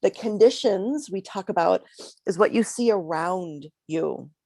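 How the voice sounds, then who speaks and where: A middle-aged woman minister giving a reflective, conversational sermon with pauses, a little congested from a cold. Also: on the low side, warm tone, no echo.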